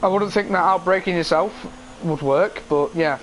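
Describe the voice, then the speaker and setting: Hoarse young man commentating a race. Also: high voice, normal speed, fairly bright tone, a little hiss or hum. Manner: casual, frequent throat clearing and filler ums. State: losing his voice, hoarse.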